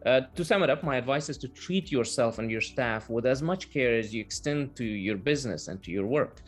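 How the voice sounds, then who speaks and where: A man whose first language is Persian, giving measured, earnest interview answers with filler pauses over a video call. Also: mid-range voice, lively intonation, soft background music. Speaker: guest, translation business owner.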